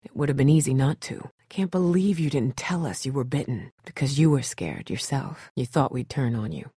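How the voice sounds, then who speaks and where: A tough woman survivor in a dramatic video game, with a low, husky voice. She is blunt and no-nonsense yet caring, and her pitch moves widely as she speaks. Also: darkish tone, no room sound, clean studio sound, full character voice. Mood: earnest, exasperated.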